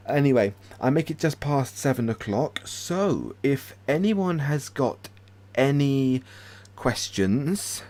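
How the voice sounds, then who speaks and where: A British man hosting a casual livestream, chatting hesitantly with many pauses and restarts. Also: medium pitch, darkish tone. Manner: hesitant, lots of ums and restarts, friendly. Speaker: ASMR channel host.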